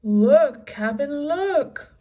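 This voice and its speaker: A woman's voice: high-pitched, expressive, drawn-out words, far from the mic, voice pushed up, full character voice. A woman voicing a ragged, obedient pirate henchman in a children's storybook, calling out eagerly. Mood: excited. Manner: shouting reports to their captain. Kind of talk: children's storybook read-aloud.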